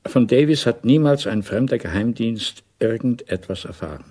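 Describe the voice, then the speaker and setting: An actor playing a guarded middle-aged intelligence officer in a 1980s radio play, measured, probing and quietly insistent. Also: male voice, medium pitch, lively intonation, breathy edge.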